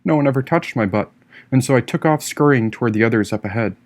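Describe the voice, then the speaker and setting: A man reading a first-person story as an audiobook narrator, expressive and theatrical in his delivery. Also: mid-range voice, fast. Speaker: author reading own audiobook.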